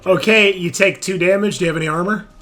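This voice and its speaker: A man's voice: sits high, fast talker, bright, forceful tone, faint music behind. A man acting as game master, explaining rules and results in a tabletop roleplaying game.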